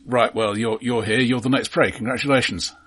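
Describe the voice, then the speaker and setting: A man's voice: medium pitch, fairly even intonation, fairly quick, fairly bright tone, slightly rough. A man co-hosting a film podcast, talking casually with dry, wry asides. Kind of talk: casual conversational film discussion.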